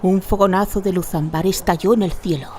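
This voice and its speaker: A woman's voice: fairly low pitch, fairly even intonation, a little fast, background noise. A woman narrating a fantasy radio drama in a dramatic, scripted storytelling style. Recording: music behind the narration.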